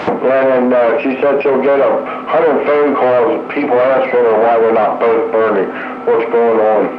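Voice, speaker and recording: A man in casual radio chat, halting and repeating himself. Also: mid-range voice, steady tone, reverberant, music in the background. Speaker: radio hobbyist in a group conversation. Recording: muffled, noisy two-way radio audio.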